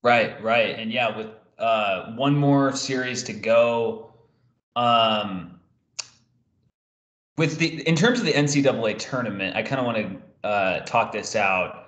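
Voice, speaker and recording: A young man with a fairly low voice hosts a casual sports interview. He speaks over a video call from a noticeably echoey room. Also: measured pace, a bit bright. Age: young adult. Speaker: sports show host. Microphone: video call microphone.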